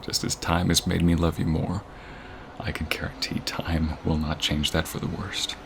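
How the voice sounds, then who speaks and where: A man's voice: sits low, little pitch movement, moderate pace, noisy background. A man playing a devoted, sentimental boyfriend in a romantic ASMR roleplay, speaking intimately and earnestly. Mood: devoted, happy. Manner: intimate, earnest, often hushed and breathy.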